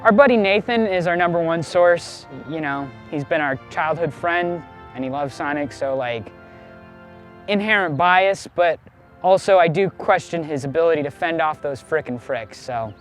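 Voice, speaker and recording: A man's voice: a little high, normal speed, fairly bright tone, faint music behind. A man co-hosting in a playful, exaggerated, casual comic style. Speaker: co-host.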